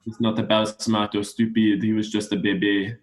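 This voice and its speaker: A man's voice: on the low side, flat delivery, normal speed, lightly acted. A young man playing a patient, explanatory father of small children in a radio play. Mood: regretful. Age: young adult.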